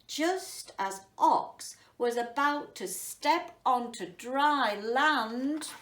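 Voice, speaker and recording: A woman reading a story to children and chatting to them about it, addressing them directly in an unhurried way. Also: high voice, animated, fairly bright tone. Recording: roomy echo, distant mic.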